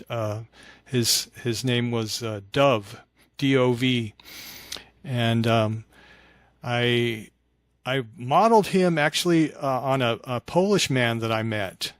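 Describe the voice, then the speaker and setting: Mature male, mid-range voice, reflective conversational storyteller for an interview, halting phrasing, dry recording. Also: medium pitch, dry recording. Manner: halting and reflective, animated when acting out remembered dialogue. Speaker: podcast guest, author of fiction.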